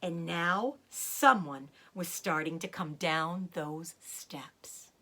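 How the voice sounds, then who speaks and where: A woman reading a children's book aloud in an expressive, dramatic way, with short asides about the pictures. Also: fairly low pitch, slow pace. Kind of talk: animated storybook read-aloud with picture asides.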